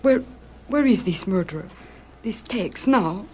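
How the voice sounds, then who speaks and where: An actress playing a devoted, anguished young Mexican woman in an old radio melodrama, pleading haltingly with a Mexican accent. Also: mid-range voice, expressive, lightly acted. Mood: fearful. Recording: muffled, noisy vintage radio recording.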